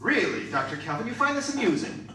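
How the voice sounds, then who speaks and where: A man's voice: high-pitched, big pitch swings, fast, bright, forceful tone, exaggerated voice. A man acting as a pompous, demanding, indignant scientist in a radio drama, stammering at times. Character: blustering, indignant male robot scientist, authoritative boss. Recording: echoey, distant, faint noise.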